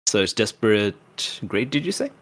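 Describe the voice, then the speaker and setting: A man's voice: fairly low pitch, very animated, moderate pace, fairly bright tone. A man chatting casually as a player in a tabletop roleplaying game, thinking aloud about his moves.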